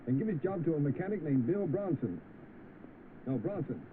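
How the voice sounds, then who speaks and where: An actor with a British accent playing a plain-spoken, defiant young chauffeur in an old film. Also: male voice, on the high side, expressive, normal speed, close to an everyday voice. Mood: brisk. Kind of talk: vintage film acting. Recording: tinny, noisy old film soundtrack.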